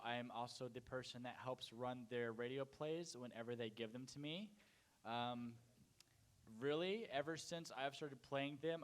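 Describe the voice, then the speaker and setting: Mid-pitched man, casual and self-deprecating. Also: a bit bright. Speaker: radio manager and DJ. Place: convention room, standing. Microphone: handheld microphone.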